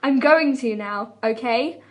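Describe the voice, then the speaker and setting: Girl reading as a soothing young guy, coaxing but firm, high voice, fanfic drama. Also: a little slow, natural voice. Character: soft-spoken, coaxing, firm young man.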